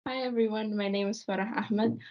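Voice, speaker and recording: A woman's voice: mid-range voice, fairly even intonation, a little fast. A young woman from Egypt, a student guest who rambles with lots of "like" fillers. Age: young adult. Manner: rambling, heavy use of "like". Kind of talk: casual podcast interview. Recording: dull lo-fi audio.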